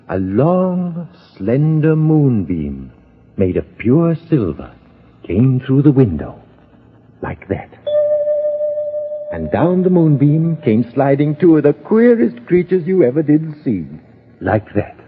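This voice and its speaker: An actor playing a gentle, indulgent father telling a bedtime story in a 1930s radio drama. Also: medium pitch, breathy edge. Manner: playful, coaxing, drawn-out storytelling. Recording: tinny old radio recording.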